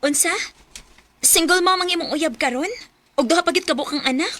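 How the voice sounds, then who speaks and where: A woman's voice: fairly quick, sharp tone. A woman acting a bossy, disapproving older sister in a radio drama, nagging with a Cebuano Filipino accent. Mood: indignant. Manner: shrill, nagging.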